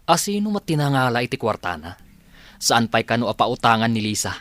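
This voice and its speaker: A man's voice: mid-range voice, sing-song, fairly quick, a bit bright, no room sound. A man acting as a concerned, questioning young father in a radio drama.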